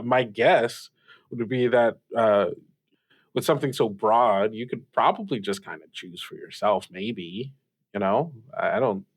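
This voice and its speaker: A man's voice: medium pitch, lightly acted. A man playing a young, kindly late-night radio host who talks in a hesitant, stammering, self-effacing way with nervous giggles. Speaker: radio call-in show host. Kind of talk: improvised call-in radio acting, casual.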